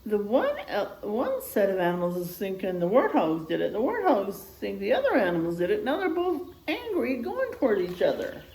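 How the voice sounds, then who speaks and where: A woman reading a picture book aloud in an expressive, child-directed way, with asides and questions to young listeners. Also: medium pitch, normal speed, few pauses, echoey room, slight background noise, poor audio.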